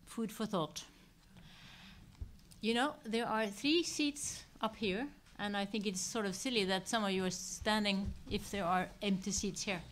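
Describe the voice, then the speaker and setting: A woman's voice: medium pitch, a little slow, a bit bright. Woman moderator over background noise. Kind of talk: moderating audience questions at a public talk. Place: public seminar room with audience. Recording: live hall microphone, clear background noise.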